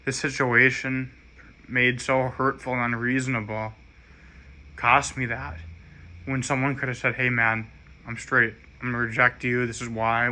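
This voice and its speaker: An American man giving a rambling, halting personal monologue in an earnest, aggrieved manner. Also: mid-range voice, pushed and bright.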